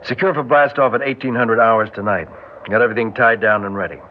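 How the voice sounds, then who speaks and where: An actor playing an authoritative alien expedition commander in an old-time radio drama, giving clipped prompts and orders. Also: male voice, medium pitch, fast, lightly acted. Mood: commanding. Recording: dull, noisy vintage radio recording.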